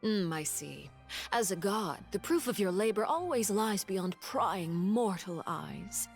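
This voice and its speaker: A woman's voice: mid-range voice, lively intonation, slow, vocal fry, faint music behind. An actress playing a coolly polite noblewoman interrogator in a game, formal and silky with veiled mockery. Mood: probing. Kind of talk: anime-style game voice acting.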